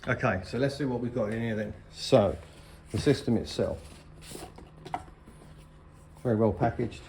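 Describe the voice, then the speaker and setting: A man's voice: mid-range voice, normal speed, a little mellow, a little hiss or hum, poor audio. A middle-aged man giving a casual, chatty, plain-spoken product review as a vlogger. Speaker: vlogger reviewing motorcycle gear. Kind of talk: casual unboxing and review talk.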